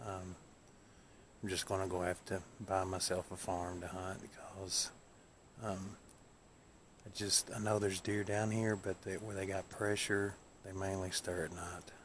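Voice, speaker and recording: A man talking casually to camera as a folksy hunting vlog host, in a drawn-out way. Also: deep voice, completely flat delivery. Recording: noisy outdoor and vehicle background.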